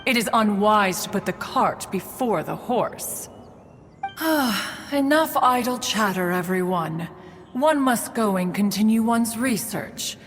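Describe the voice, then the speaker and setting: A woman's voice: mid-range voice, animated, slow pace, long reverb tail, faint music behind. A woman voicing a dignified ancient female crane adeptus in a game, speaking formally and referring to herself as "one". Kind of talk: game voice acting.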